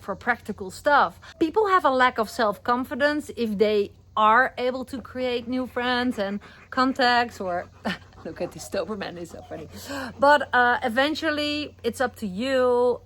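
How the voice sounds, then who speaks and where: A middle-aged woman with a Dutch accent talks straight to the camera in a casual vlog. Her pitch sits right in the middle for a woman. She gives earnest, encouraging advice, and her English phrasing is loose and non-native. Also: a bit bright. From Netherlands. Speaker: expat vlogger.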